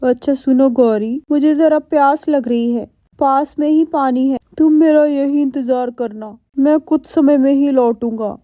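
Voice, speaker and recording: A woman's voice: high-pitched, steady tone, unhurried, hardly any pauses, dry recording. A woman playing a scheming, selfish, sweet-talking husband crow in a cartoon, wheedling and dramatic, on a muffled recording. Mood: falsely sweet.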